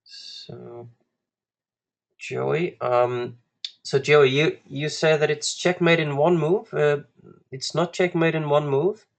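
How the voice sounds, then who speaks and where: A man from Iceland with an Icelandic accent, teaching a live online lesson as a coach in a halting, deliberate way with long pauses. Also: on the high side, steady tone, creaky. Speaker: guest chess coach. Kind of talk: live online lesson, talking through puzzles to students.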